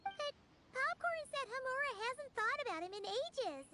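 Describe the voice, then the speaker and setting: A woman voicing a small, eager female companion creature in a video game, with a high chirpy voice. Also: sing-song, normal speed, background noise. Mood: urgent. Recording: music behind dialogue.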